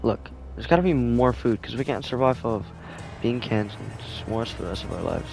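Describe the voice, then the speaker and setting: A man playing an anxious, plucky son in an improvised zombie video game roleplay, speaking haltingly. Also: medium pitch, lively intonation, creaky, over music, low-quality recording. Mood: earnest.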